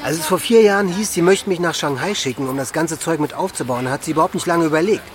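An actor playing a frank German expatriate manager, talking informally and a little haltingly in a radio drama. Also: male voice, fairly high pitch, crowd noise, natural voice. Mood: casual, matter-of-fact. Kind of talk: conversational documentary radio drama.

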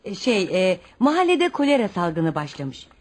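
A woman acting an anxious, expressive female character in a Turkish radio play, emotive and theatrical. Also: mid-range voice, moderate pace. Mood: worried.

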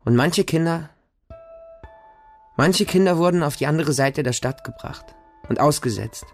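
An actor playing a wounded, innocent boy who tells of his childhood in a radio drama, in simple, deliberate, repeated phrases. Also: fairly high pitch, darkish tone, faint music behind. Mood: haunted remembering. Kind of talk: radio drama monologue, storytelling.

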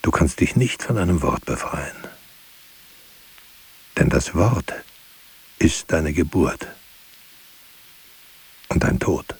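A man playing a grave, searching writer in a literary radio drama, speaking in a measured, solemn and at times hushed way. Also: extremely low pitch, lively intonation, creaky, breathy edge, heavily acted.

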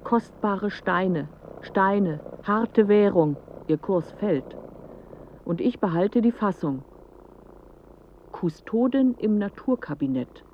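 An actress playing a clever, dreamy woman who recites a poetic radio play monologue in measured, declamatory phrases. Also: medium pitch, animated, muffled recording. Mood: wry, declamatory. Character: clever woman musing in riddles, dreamy storyteller of images.

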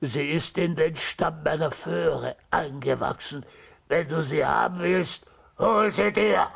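A man playing a blustering, pleading green ogre in a children's radio play, with a husky, creaky voice. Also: a little high, slow pace, fairly bright tone, exaggerated voice. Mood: begging, defeated.

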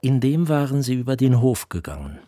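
An actor playing the composed narrator of a literary radio play, reading in a measured way. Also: male voice, medium pitch, big pitch swings, dark tone, no echo, clean studio sound. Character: composed literary narrator of a young man's memories.

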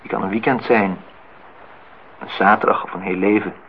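An actor playing a disillusioned, reflective man in his thirties in a Dutch radio play, speaking in measured, philosophical phrases. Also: fairly low pitch, fairly even intonation, lightly acted. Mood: somber, musing. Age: 30s. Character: disillusioned, reflective man musing on truth and love. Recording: dull, noisy old radio play recording.

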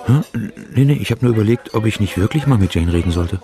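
An actor playing a flustered divorced father in a radio drama, gentle, hesitant and stammering. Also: lowish voice, lively intonation, fast, a faint crowd, close to an everyday voice. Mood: flustered, soothing.

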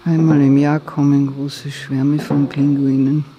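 Over a clearly noisy sound bed, a performer voices a detached radio-play narrator with very little rise and fall in pitch. The delivery is measured and matter-of-fact, like literary scripted narration of desolate islands. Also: flat delivery, close to an everyday voice.

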